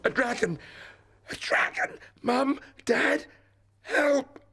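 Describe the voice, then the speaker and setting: A man's voice: very high voice, lively intonation, slow pace, gravelly, bright, forceful tone, a little hiss or hum, pitched above the performer's own voice, heavily acted. A man voicing a brave little boy who plays at being king, dramatic and declaiming, in a children's bedtime story. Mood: terrified. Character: brave little boy playing king, grandly defiant then frightened.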